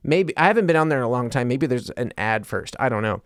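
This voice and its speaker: A man's voice: mid-range voice, animated, fast talker, no echo, studio quality. A man hosting a solo podcast in a casual, rambling, conversational and self-deprecating way, like a stand-up comic chatting to listeners. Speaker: podcast host and stand-up comedian.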